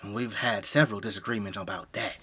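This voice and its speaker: A man's voice: lowish voice, quick pace, sharp tone, husky. An actor playing a friendly, folksy middle-aged family man with an American Southern accent in an audio drama. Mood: placating. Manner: effusive, folksy, hospitable.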